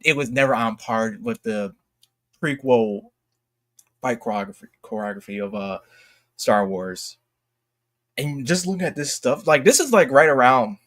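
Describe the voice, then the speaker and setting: A man giving casual, rambling live commentary as a streamer, halting and repeating phrases, emphatic when exasperated. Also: mid-range voice, a bit bright. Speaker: streamer reacting to videos.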